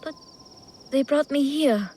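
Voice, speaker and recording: An actor voicing a dutiful, earnest young son of a feudal lord in a video game cutscene. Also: male voice, extremely high pitch, takes it slowly, a little mellow, slight background noise. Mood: polite, wistful.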